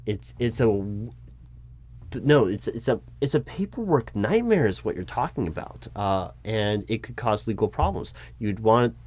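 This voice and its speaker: A man playing a flustered human resources manager in an audio roleplay, explaining insistently to his boss in a halting, repetitive way. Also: fairly low pitch, a little mellow. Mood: exasperated. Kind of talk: one-sided improvised audio roleplay, loud spoken.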